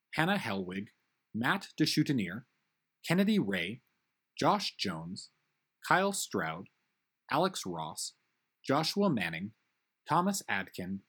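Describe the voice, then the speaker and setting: Male producer, scripted outro and credits; upbeat, conversational, husky. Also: mid-range voice, fairly bright tone. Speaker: producer reading the closing credits.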